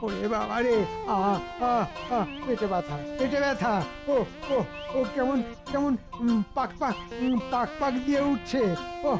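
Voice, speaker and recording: A man's voice: extremely high pitch, measured pace, vocal fry, full character voice. An actor voicing a sly, scheming minister in a cartoon, who moans and whines as he fakes being sick. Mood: whining. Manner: whining, moaning. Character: scheming sly minister, middle-aged man, feigning illness. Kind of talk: cartoon voice acting. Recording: studio dub with background music.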